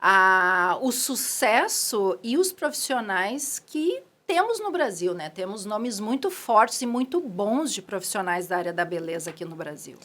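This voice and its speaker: A woman's voice: medium pitch, very animated, measured pace, hardly any pauses, a bit bright. A middle-aged woman from southern Brazil with a Gaúcho accent, speaking as a guest on an interview podcast, chatty and full of restarts. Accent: Gaúcho Brazilian Portuguese. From Rio Grande do Sul, Brazil. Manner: chatty, with frequent restarts and "né" tags. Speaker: guest, clinic owner. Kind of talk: casual interview talk.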